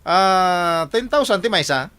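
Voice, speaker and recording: A man's voice: high-pitched, expressive, slow pace, pushed and bright, smooth, heavily acted. A man acting as a boastful, big-spending groom in an Ilocano radio drama, swaggering and exclaiming with an Ilocano Filipino accent. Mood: smug.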